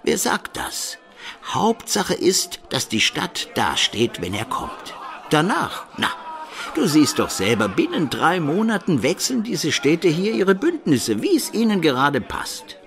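A shrewd medieval townsman in a radio drama. His slightly high voice swings widely as he explains emphatically and makes sure he is followed, with faint music behind. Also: wildly swooping pitch, takes it slowly, creaky, full character voice. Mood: defiant, gruff. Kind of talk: radio play acting.

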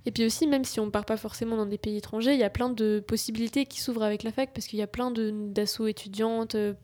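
Chatty young student woman, slightly high pitch. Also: fast. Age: young adult. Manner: lively, chatty, eager. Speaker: co-host, university student. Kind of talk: casual radio chat.

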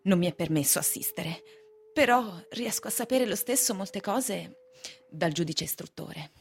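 A woman's voice: on the low side, lively intonation, normal speed, creaky. A woman acting in a radio drama as a flirtatious, fickle wife, coy and confiding in her delivery. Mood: coy, insinuating. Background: music.

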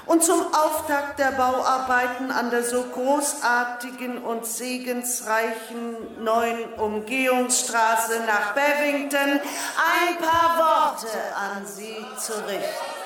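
A woman playing a pompous, condescending lady dignitary who gives a halting, grandiose ceremonial speech in a radio play. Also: high-pitched, a bit bright, faint music behind. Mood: gushing, condescending. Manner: pompous, halting, grandiose, faltering and repeating herself. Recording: echoing public-address hall sound with crowd.

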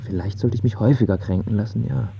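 A man's voice: on the low side, fast talker, vocal fry, husky, dry recording, heavily acted. An actor playing a brooding, lovesick young man in a radio drama, earnest and declamatory. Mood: wounded, self-pitying. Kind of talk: German radio drama acting. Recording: dull audio over music.

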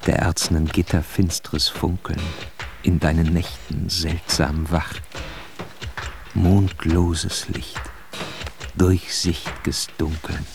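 An actor playing a grave reciter, declaiming deliberately and solemnly in a radio drama. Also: male voice, sits low, flat delivery, gravelly, over music, heavily acted. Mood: solemn, reverent. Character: grave poetic male reciter of verse and distant places.

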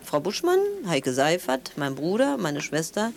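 An actress playing an opinionated sister in a naturalistic audio drama, talking chattily with halting restarts and tag questions. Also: female voice, low voice, sing-song, heavily acted. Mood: dismissive gossip. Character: animated, opinionated sister of a wealthy man, accusing.

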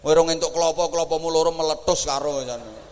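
A man with an East Javanese accent, giving a humorous religious sermon in an animated, teasing way. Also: fairly high pitch, fast talker. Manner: joking, animated, teasing the audience. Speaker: preacher. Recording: echoey hall.

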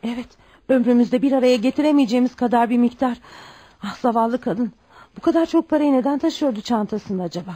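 Mellow high-pitched woman, kindly honest mother, acted, compassionate, radio theatre. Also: quick pace, lightly acted. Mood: gentle concern. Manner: compassionate, principled. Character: gentle, honest, poor cleaning-woman mother. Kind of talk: Turkish radio theatre acting.

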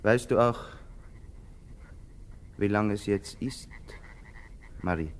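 Tormented, brooding man, low and halting. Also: clear, resonant tone. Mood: brooding, ominous. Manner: halting, repetitive, muttered phrases breaking into frenzy. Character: tormented, brooding man turning murderous on his lover. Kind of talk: literary radio drama acting.

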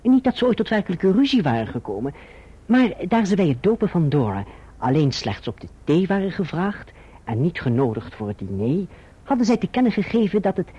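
An actor playing an earnest young gentleman who tells his own story in formal, measured language in a radio drama. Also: sits high, husky, heavily acted. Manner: formal, measured literary diction. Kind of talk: literary radio drama narration and dialogue. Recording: muffled, dull old radio drama recording.

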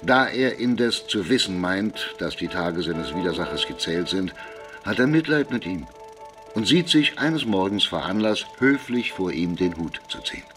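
An actor narrating an absurd farce for a radio drama in a measured, deliberate storytelling manner. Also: male voice, sits low, lively intonation, a bit bright, soft background music. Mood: dryly storytelling.

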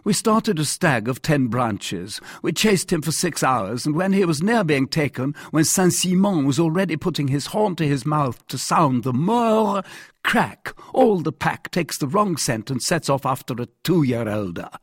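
A male narrator plays a petulant French king from an audiobook. He pitches his voice high and swings it about in querulous, theatrical complaint. He sounds self-pitying and quick to flare into temper. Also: expressive, husky, no echo, clean studio sound. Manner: querulous, theatrical, emphatic outbursts. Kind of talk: dramatised audiobook character voice.

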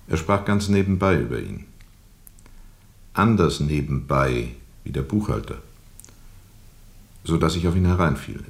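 Ein Schauspieler spielt in einem Hörspiel einen weltmüden, von dunklen Erinnerungen gequälten Flusskapitän, der mit rauer Stimme bedächtig erzählt. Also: male voice, very deep voice, gravelly, full character voice. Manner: deliberate, pausing and repeating for emphasis. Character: world-weary riverboat captain recounting dark memories, brooding and haunted. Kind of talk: radio drama monologue, slow storytelling.

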